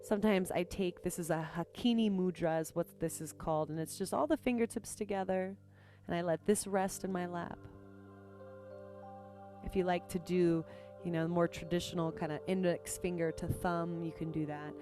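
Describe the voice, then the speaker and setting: A woman leading a guided meditation as a teacher, speaking gently and unhurriedly with pauses between phrases. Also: fairly low pitch, fairly even intonation. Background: music.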